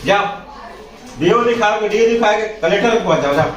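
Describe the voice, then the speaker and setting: High-pitched drunk man, defiant. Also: animated, normal speed, distant mic. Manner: defiant, challenging, repetitive. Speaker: school teacher. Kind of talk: heated spontaneous confrontation. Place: inside a school. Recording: echoey, off-mic, music laid over.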